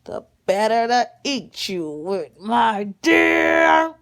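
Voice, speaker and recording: A woman voicing a storybook big bad wolf who poses as a sweet old grandma, coaxing with drawn-out endearments. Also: mid-range voice, huge pitch swings, a bit bright, heavily acted. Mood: menacing, loud.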